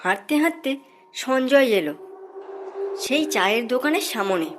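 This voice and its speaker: Higher-pitched woman narrating a children's story. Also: sing-song, normal speed. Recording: loud music behind the voice.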